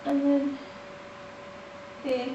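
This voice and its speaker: A woman with a nasal voice from hay fever, talking halting and casual as she walks through a coloring tutorial at home. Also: high-pitched, monotone, warm tone, lo-fi sound. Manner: halting, with restarts and fillers. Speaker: art tutorial host. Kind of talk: casual step-by-step tutorial narration. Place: home in the countryside. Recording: roomy, mic at a distance, faint noise.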